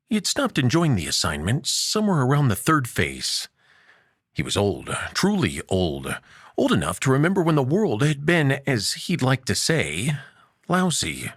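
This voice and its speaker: A man hosting a story show and narrating a science fiction tale, expressive, dropping to hushed low lines and lifting for emphasis. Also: husky, no room sound, clean studio sound. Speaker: host and narrator.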